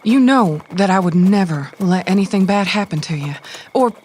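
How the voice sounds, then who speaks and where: A woman's voice: medium pitch, animated, normal speed, a little mellow, slight background noise, natural voice. A woman playing a sweetly manipulative, maternal schemer in an audio drama, coaxing and controlling. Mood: soothing, reassuring.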